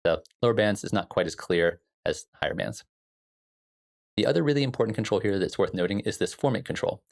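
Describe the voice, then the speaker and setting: Low-voiced, gravelly man giving a tutorial. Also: fairly quick. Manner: informal, explanatory. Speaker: music production instructor. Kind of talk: casual software tutorial.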